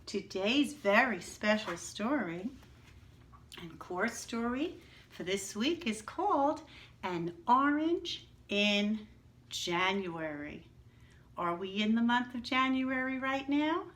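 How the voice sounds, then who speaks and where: A woman teaching young children in a slow, sing-song way, asking questions and answering them herself. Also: fairly high pitch, animated, fairly bright tone. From New York, United States. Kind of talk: read-aloud lesson for young children.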